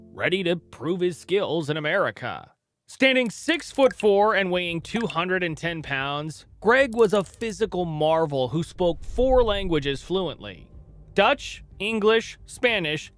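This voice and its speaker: Male narrator, fairly high voice, dramatic storytelling, scripted documentary read, faint music underneath. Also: fairly bright tone.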